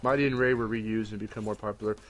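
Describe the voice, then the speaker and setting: A man's voice: on the low side, steady tone, quick pace. Groggy young man rambling casually. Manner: rambling, self-correcting, swears casually. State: groggy morning voice. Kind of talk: casual chat.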